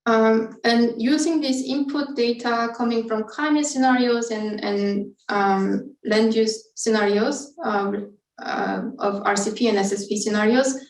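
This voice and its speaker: A woman's voice: a little high, measured pace. A woman researcher giving an explanatory scientific webinar presentation, speaking somewhat hesitantly. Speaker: researcher presenting study methods. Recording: echoey room, distant from mic.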